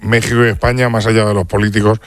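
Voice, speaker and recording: A man's voice: fairly low pitch, flat delivery, fast talker, no room sound, clean studio sound. A man on a sports radio panel speaking emphatically. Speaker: radio pundit.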